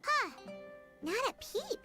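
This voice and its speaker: A woman's voice: very high-pitched, big pitch swings, takes it slowly, bright, forceful tone, faint music behind, full character voice. A woman voicing a small, childlike, chirpy and curious fairy companion in a video game. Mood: puzzled. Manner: refers to self in third person, exclamatory.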